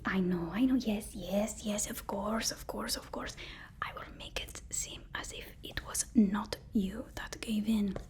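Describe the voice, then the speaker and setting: A woman whispering an ASMR roleplay as a deferential, fussy personal assistant to a supervillain, speaking haltingly and repeating her phrases. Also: mid-range voice, fairly even intonation, a little mellow. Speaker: ASMR performer.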